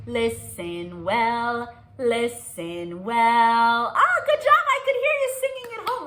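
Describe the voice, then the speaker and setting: A woman with a high, bright voice reads aloud to young children at an unhurried pace. She is sing-song and animated, putting simple questions to her listeners. The room gives her voice an audible echo. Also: slight background noise. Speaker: children's librarian hosting musical storytime. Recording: roomy echo.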